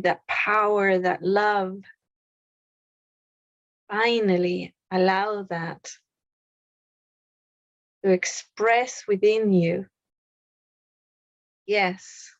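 A woman leading a guided meditation, speaking softly with long pauses between short phrases. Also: mid-range voice, steady tone, no echo, lo-fi sound. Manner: slow, soft, with long pauses.

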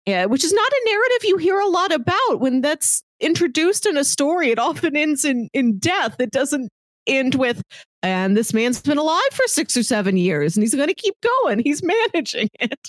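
A woman with a fairly high voice talks in a casual, reflective way as a podcast co-host. She builds her points articulately in long phrases, and the sound is very dry, with no room echo. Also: sing-song, moderate pace. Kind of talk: casual conversational film discussion.

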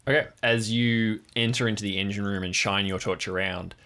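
A man's voice: on the low side, fairly even intonation, brisk, sharp tone. A man acting as game master, narrating an improvised tabletop game and describing scenes to players.

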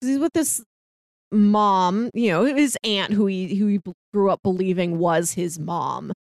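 A woman's voice: mid-range voice, unhurried, no room sound, studio quality. A woman co-hosting a casual film discussion, chatty and often laughing as she talks. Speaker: podcast co-host.

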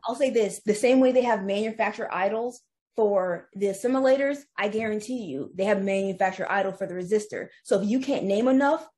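A woman's voice: mid-range voice, a little mellow. A young woman talking bluntly and profanely as a guest on a casual podcast. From United States. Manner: blunt, profane, fast-changing opinions.

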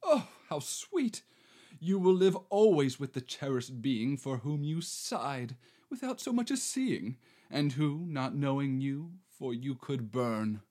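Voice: mid-range voice, sing-song, slow pace, warm tone. A performer playing a swaggering male bandit who poses as a romantic marquis, delivering verse theatrically. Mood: mock-tender. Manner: bombastic, theatrical verse delivery with wild swings. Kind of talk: amateur reading of a verse play.

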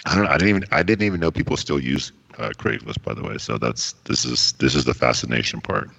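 A man's voice: very deep voice, fast, vocal fry, fairly bright tone, breathy edge. A man co-hosting a casual live stream, easygoing and agreeable, reading out viewer posts and chat messages. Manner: laid-back, agreeable, reads chat aloud.

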